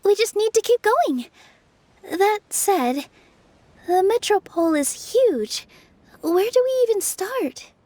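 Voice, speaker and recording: A woman playing a gentle, polite, slightly hesitant young woman in an anime game. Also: very high-pitched, very animated, takes it slowly, long pauses, no echo, exaggerated voice. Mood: thoughtful. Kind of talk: anime game dub dialogue.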